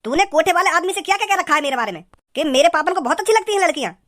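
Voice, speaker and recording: A man voicing an angry, stern father in an amateur comedy cartoon, indignant and scolding, with a pitched-up voice. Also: expressive, racing, fairly bright tone.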